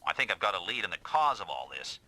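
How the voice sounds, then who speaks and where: An actor voicing a gruff, commanding male team leader in an anime-style video game, scolding subordinates with authority. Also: on the low side, sing-song, quick pace, sharp tone, heavily acted, low-quality recording. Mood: scolding, urgent.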